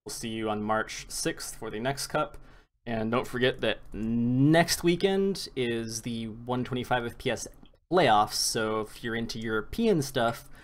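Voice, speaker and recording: A man, an esports caster, wrapping up a stream in loose, joking chat with some casual swearing. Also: medium pitch.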